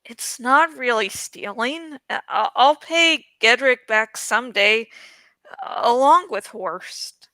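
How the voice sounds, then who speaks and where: A woman reading the thoughts of a troubled, resolute young farm boy in a storybook, muttered and deliberate. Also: a little high, animated, sharp tone, dry recording, heavily acted. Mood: self-justifying.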